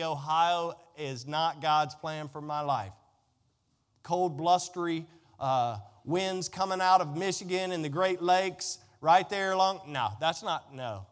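A man's voice: fairly high pitch, a bit bright. A man preaching a sermon as a pastor, speaking emphatically with folksy direct address and repeated phrases. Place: large indoor room, preaching to a congregation. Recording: reverberant hall with long echo.

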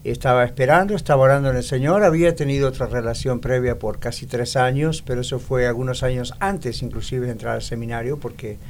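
Good-humored older Argentine pastor. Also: male voice, medium pitch, fast, few pauses, slight background noise. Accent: Argentine Spanish. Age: 60s. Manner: good-humored, relaxed storyteller. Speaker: pastor, interview guest. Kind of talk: casual interview talk.

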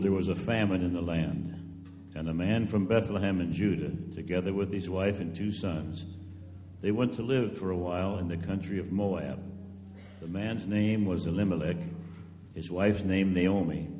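In a long, reverberant hall, a man reads scripture as a narrator. His voice is very low and dark, and he tells the story in a measured, solemn way. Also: faint music behind. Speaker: narrator in church drama team. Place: large church hall, live reading.

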